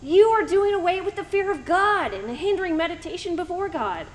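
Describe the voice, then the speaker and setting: A woman's voice: very high voice, animated, a little fast. A woman playing a reproachful, accusing friend in an amateur church Bible drama, scolding and declaiming.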